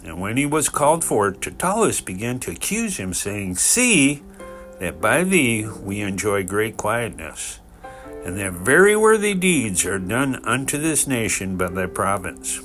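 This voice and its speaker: Guy narrating bible scripture, really animated pitch, measured expressive delivery, guitar music playing under it. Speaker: scripture reader. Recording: music behind the voice.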